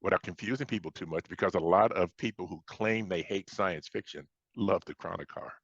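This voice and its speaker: An American man from Chicago speaking as an interviewed author, explaining things conversationally in a halting way with repeated starts. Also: sits low, gravelly, a bit bright, breathy edge. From Chicago, United States. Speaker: science fiction author being interviewed. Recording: dull, roomy lo-fi sound.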